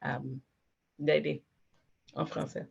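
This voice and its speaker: A woman's voice: low voice, flat delivery, slow pace, creaky, husky, lo-fi sound. A woman working at a health centre, answering a panel question reflectively.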